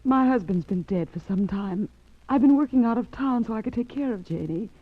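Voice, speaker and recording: A muffled old radio recording. an anxious, devoted mother with a fairly high voice, earnest and emotional in 1940s melodrama style. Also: lively intonation, a little fast, no room sound. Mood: earnest pleading.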